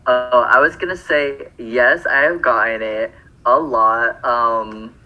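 A man's voice: mid-range voice, fairly even intonation, slow pace, sharp tone, low-quality recording. A man from Phoenix co-hosting a podcast, chatting casually and rambling through lots of fillers and self-corrections. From Phoenix, Arizona. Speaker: podcast co-host.

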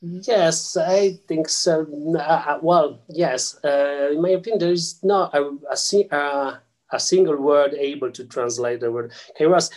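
Slow, hesitant Italian-accented man. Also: a little high, darkish tone. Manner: hesitant, frequent filler sounds and restarts. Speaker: guest scholar. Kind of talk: explaining physics and theology in an interview.

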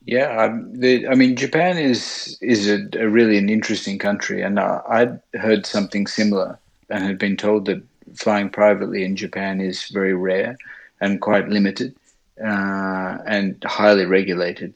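A middle-aged man with an Australian accent telling his story as an interview guest, speaking in a hesitant, halting way. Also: lowish voice, steady tone. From Sydney, Australia. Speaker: interview guest, bush pilot.

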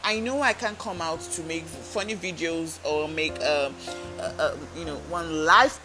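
Woman, self-help podcast host, earnest coaching delivery, clear background music bed. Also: deep voice, a little slow. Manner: earnest, coaching, asks listeners direct questions. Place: talking alone into a microphone.

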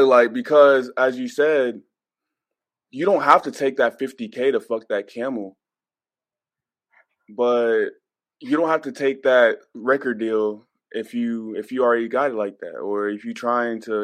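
A man's voice: medium pitch, measured pace, no room sound. Slangy, insistent man chatting casually. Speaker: podcast panelist. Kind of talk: casual chat. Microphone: remote call mic.

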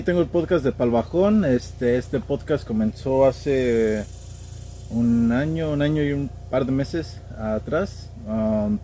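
A man's voice: medium pitch, mellow, slight background noise. A young man with a central Mexican accent, telling stories in a casual, slangy way as a podcast guest. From State of Mexico, Mexico. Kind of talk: casual conversational storytelling.

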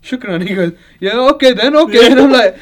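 A man's voice: extremely high pitch, brisk. A man hosting a casual chat, telling stories with lots of laughter. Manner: laughing, animated storyteller. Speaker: podcast host.

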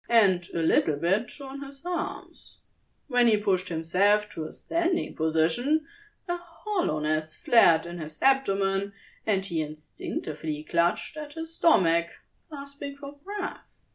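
Slow, lively female story narrator. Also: a little high. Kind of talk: scripted fan fiction narration.

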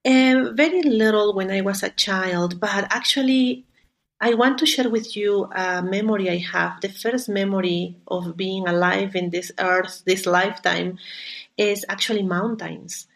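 Creaky-voiced woman with a Peruvian Spanish accent. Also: mid-range voice, steady tone, a little slow. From Peru. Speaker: podcast guest, spiritual guide. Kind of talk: conversational interview storytelling.

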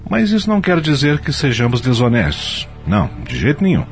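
An actor playing a formal, self-justifying company executive in a radio soap, talking in a smooth, oratorical way. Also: male voice, mid-range voice, huge pitch swings, fairly quick, soft background music. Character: self-justifying male company executive running a board meeting. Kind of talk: radio soap opera acting.

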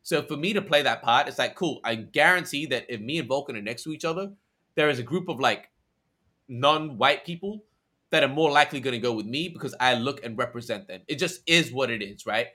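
A man's voice: medium pitch, animated, fairly quick, sharp tone. A young man from Australia chatting energetically as a podcast guest, full of slang and tangents. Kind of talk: casual conversation.

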